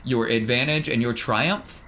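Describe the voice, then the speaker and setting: A man's voice: medium pitch, a little slow, sharp tone. A man narrating a tabletop game as game master, casually and with playful, informal descriptions.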